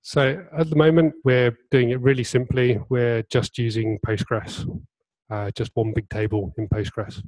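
A man's voice: medium pitch, steady tone, unhurried, darkish tone, no room sound, poor audio. Hesitant man answering tech questions. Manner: hesitant, many restarts and fillers. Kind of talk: Q&A answers at a meetup. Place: meetup talk venue indoors.

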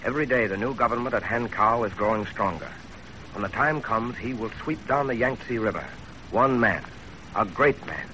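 An actor playing a fervent young revolutionary student, declamatory and earnest, in a 1930s radio drama on an old recording. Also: male voice, on the low side, moderate pace, bright, forceful tone, slight background noise, rough recording.

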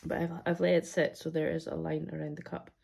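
Husky, chesty Scottish woman rambling. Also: low voice, little pitch movement, fast, darkish tone. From Scotland. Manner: rambling, friendly, many ums. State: chesty, coming down with a cold. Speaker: budgeting vlogger. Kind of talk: casual chatty to-camera talk. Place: at a wooden table indoors.

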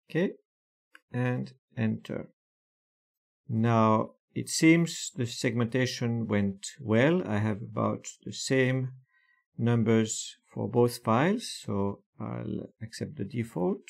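A man calmly walking through software steps one by one in a screen-recorded tutorial, with frequent hesitations. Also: medium pitch, creaky. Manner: slow, methodical step-by-step explanation with frequent fillers.

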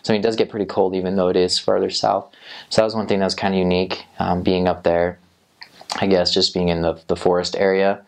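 A man's voice: sits low, flat delivery, quick pace, vocal fry. A young man on a lapel mic in a small studio, answering interview questions about his time as a missionary, rambling with many fillers and restarts. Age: young adult. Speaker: returned missionary. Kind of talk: interview answers, casual recollection. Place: small indoor studio set.

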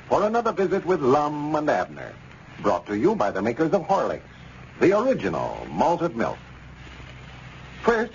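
An American man announcing from a script in polished, emphatic 1930s radio style. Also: on the high side. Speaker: radio announcer and sponsor pitchman. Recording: muffled, noisy old radio recording.

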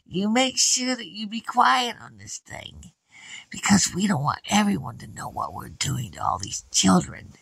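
A man's voice: high voice, sing-song, unhurried, creaky, fairly bright tone, husky, no room sound, voice pushed up, full character voice, low-quality recording. A man voicing a creepy, child-eating old woman in a bedtime horror story, coaxing and sinister in a sugary way. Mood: bossy, menacing.

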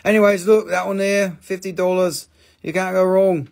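A middle-aged man with a gravelly, slightly higher voice talks fast and excitedly on a live sales stream. He exclaims, swears casually and chats loosely, recorded close up at a table indoors. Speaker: live-stream gem seller.

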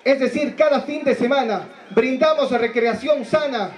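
A man's voice: very high-pitched, normal speed. A man with an Ecuadorian accent giving a public speech. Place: outdoor sports ground.

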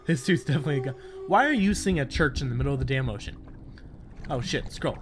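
A man giving casual, profane and excitable game commentary, talking straight to the character he is playing. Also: medium pitch, fast talker, over music. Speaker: gaming video host. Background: game audio of boat and water.

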